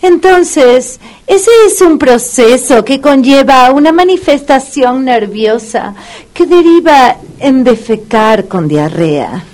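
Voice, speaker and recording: A sultry Caribbean dog whisperer in a radio comedy. She speaks slowly in a fairly high voice, pompous and clinical, coaxing as if every word were expert advice. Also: expressive, darkish tone. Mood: explaining. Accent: Caribbean Spanish. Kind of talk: comedic radio play acting.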